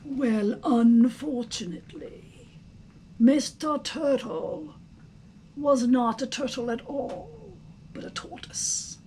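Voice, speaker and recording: A woman reading a storybook aloud in an expressive, theatrical, emphatic narration. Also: high-pitched. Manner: theatrical, emphatic, deliberate phrasing. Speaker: storybook reader. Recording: faint background noise.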